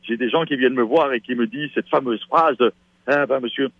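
Comédien à la voix voilée, bavard et enthousiaste, son radio terne, sec. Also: male voice, mid-range voice, fairly quick, breathy edge, no room sound. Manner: chatty, enthusiastic promoter. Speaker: stage actor, guest. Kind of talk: radio interview. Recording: dull lo-fi radio sound.

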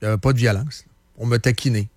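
Male talk radio host, Quebec French accent, average pitch, opinionated and colloquial, dry studio sound. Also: fairly even intonation, quick pace, darkish tone, no echo. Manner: colloquial, peppered with English words, repeats phrases for emphasis. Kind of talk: opinionated casual current affairs chat.

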